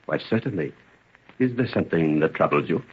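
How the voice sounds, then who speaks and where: A man's voice: a bass voice, animated, brisk, breathy edge, heavily acted. A man playing a devoted, anxious father in an old-time radio drama, earnest and emotional. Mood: grave, relieved. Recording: dull, muffled old radio recording.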